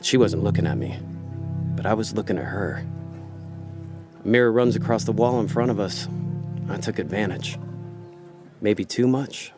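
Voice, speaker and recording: A man's voice: on the low side, moderate pace, long pauses, close to an everyday voice. An actor playing a laid-back, cynical con man in a hardboiled noir radio play, with a wry, slangy, world-weary delivery. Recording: radio drama with music underneath. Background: prominent background music.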